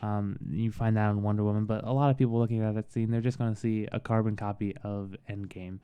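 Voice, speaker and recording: A man giving a casual, halting film review in a soothing voice, with frequent pauses and restarts. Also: lowish voice, flat delivery, clear, resonant tone, narrow, tinny audio. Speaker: movie reviewer.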